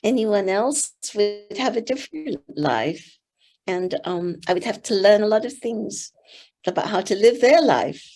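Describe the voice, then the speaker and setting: An older woman from Singapore whose first language is Teochew, giving gentle, thoughtful interview answers with soft pauses. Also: mid-range voice, lively intonation. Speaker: interview guest, board member.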